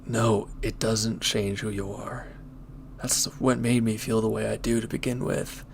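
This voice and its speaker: A man playing a former villain turned hesitant, conflicted lover, speaking earnestly and haltingly in an intimate audio roleplay. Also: on the low side, level tone, gravelly, a little hiss or hum. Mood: regretful, earnest.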